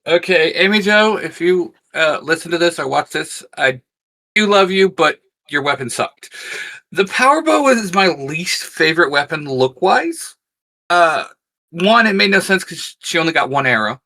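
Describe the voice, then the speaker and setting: A man's voice: fairly high pitch, lively intonation, normal speed, bright, forceful tone. A man co-hosting a review in a casual, conversational style. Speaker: co-host.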